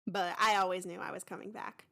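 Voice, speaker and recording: A young woman hosting her own podcast, talking casually and excitedly in a rambling, informal way. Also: lowish voice, brisk, gravelly. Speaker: podcast creator and host. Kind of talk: casual, chatty podcast announcement.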